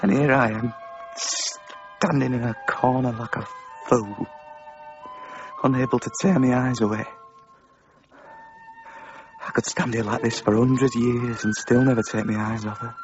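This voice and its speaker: A man's voice: mid-range voice, steady tone, unhurried, pauses often, over music, lightly acted. A man playing a shy, lovesick young village blacksmith who pleads earnestly with the girl he loves in a radio drama. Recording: dull lo-fi 1990s broadcast.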